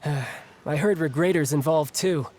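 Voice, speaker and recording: A man's voice: a little high, measured pace, darkish tone. An actor playing a cocky, breezy young male warrior in a video game, casual and playful. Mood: wry, playful.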